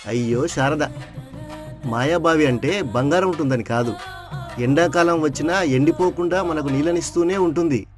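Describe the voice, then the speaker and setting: An actor playing a middle-aged village father-in-law in a moral story, calmly explaining things. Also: a little high, moderate pace, soft background music, lightly acted.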